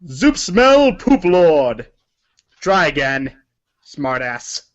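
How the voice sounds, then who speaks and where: A man's voice: on the high side, sing-song, very slow. A man reading scripted narration for a read-along story performance, in a dull recording.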